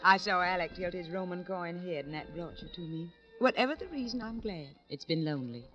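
Lonely society flirt, arch, theatrical, very animated pitch, vintage radio drama, muffled with music. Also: female voice, fairly quick. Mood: coy, flirtatious. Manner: arch, dramatic, poetic phrasing. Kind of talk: 1950s radio drama acting. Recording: dull old radio recording with music.

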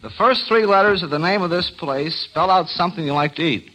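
A man with a somewhat high voice reads travelogue clues for a quiz. He speaks as a guest quizmaster on old-time radio, with the sound of a vintage broadcast. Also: fairly quick, pushed and bright, poor audio. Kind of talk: scripted travelogue quiz narration.

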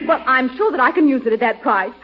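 Gushing, high-voiced society decorator. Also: female voice, animated, quick pace. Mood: brisk, genteel. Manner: affected, gushing, emphatic, tittering. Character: refined, snobbish, gushing female interior decorator. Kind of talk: classic radio situation comedy acting. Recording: dull, band-limited vintage radio recording.

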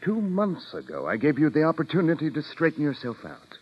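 Stern, scolding judge, very expressive. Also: male voice, a little high, fairly quick, husky, exaggerated voice. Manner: scolding, firm. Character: stern, exasperated, paternal male judge. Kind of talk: old-time radio drama acting. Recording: muffled old radio recording.

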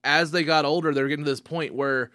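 A young adult man with an Oklahoma accent hosting a casual comedy podcast. He talks in an animated way and swears freely. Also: a little high, fast talker, no echo. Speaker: podcast host.

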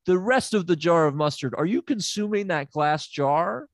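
A man's voice: on the high side, lively intonation, normal speed, no room sound, studio quality. A man playing a smug, unqualified therapist who rambles and stammers in an improvised comedy sketch. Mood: probing. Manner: rambling, stammering, self-assured.

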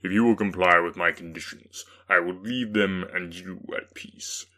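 A man voicing a giant, anguished male creature who pleads and threatens in a low, heavy, at times whispery voice. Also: steady tone, moderate pace, bright, forceful tone. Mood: bitter menace. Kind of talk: dramatic audiobook reading.

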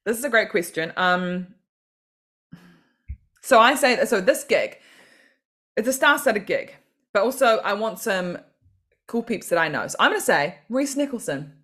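A woman's voice: medium pitch, lively intonation, moderate pace, long pauses, fairly bright tone. A woman from New Zealand, a comedian and podcast guest, talking in a chatty, self-deprecating way and laughing often. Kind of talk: casual podcast interview.

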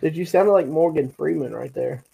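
A man's voice: on the high side, normal speed, vocal fry, warm tone, breathy edge. A man co-hosting a podcast who jokes around and hypes things up in casual chat. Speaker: podcast co-host.

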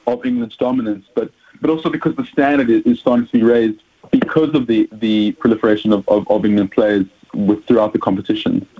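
A man's voice: lowish voice, steady tone, a little fast, breathy edge. A South African man, a rugby journalist, answering interview questions with frequent hesitations and repeated words. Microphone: phone. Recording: tinny phone line.

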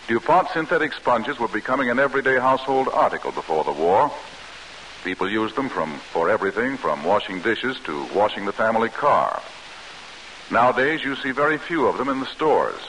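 A male commercial announcer with a medium-pitched voice. He reads scripted copy in 1940s radio style, with faint hiss on the old recording. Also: fairly bright tone, low-quality recording.

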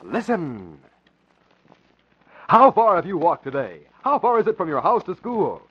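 A man's voice: high-pitched, wildly swooping pitch, a little slow, husky. An American man announcing in scripted 1940s radio style, with an emphatic, promotional delivery. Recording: muffled vintage radio broadcast.

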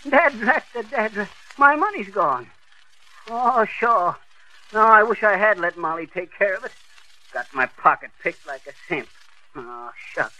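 Flustered American husband: stammering, very forceful, high, old-time radio comedy bluster. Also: male voice, slight background noise, exaggerated voice, poor audio. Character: flustered, blustering husband, a fast-talking bumbler. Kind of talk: 1940s radio comedy acting.

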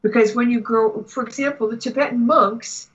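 A woman giving a spiritual reading to a client in halting, deliberate phrases with long pauses. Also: a little high, steady tone, a bit bright, husky, dull audio. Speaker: Akashic Records reader, guest.

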